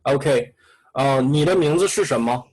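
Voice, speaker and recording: A man's voice: medium pitch, normal speed, darkish tone, breathy edge, low-quality recording. A man with a Chinese accent, a native Chinese speaker teaching an online class and coaxing students with repetitive prompts in broken English.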